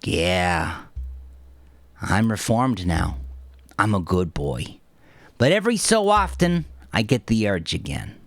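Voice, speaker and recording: A man's voice: lowish voice, very animated, slow, long pauses, no room sound, pitched above the performer's own voice, exaggerated voice. A man voicing a cocky, sadistic male gangster in an audiobook, wisecracking and profane with theatrical swagger. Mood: playful swagger. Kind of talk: audiobook character dialogue.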